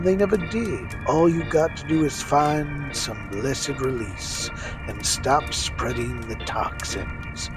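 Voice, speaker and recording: Fire-and-brimstone male preacher acted by a man for radio drama. Mid pitch, highly animated, rhythmic, music under. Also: rough recording. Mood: coldly urging. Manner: rhythmic cadence, sudden surges and drops.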